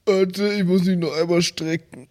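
A man's voice: high-pitched, steady tone, normal speed, a little mellow, no echo. A man in a podcast reading out thanks to supporters in a jokey, informal, slangy way. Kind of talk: casual, playful shout-out list.

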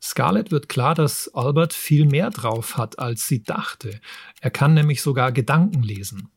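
A man's voice: medium pitch, animated, unhurried, creaky, slightly rough, dry recording, studio quality. A middle-aged man, presenting book recommendations as a podcast host. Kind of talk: book review talk, partly scripted.

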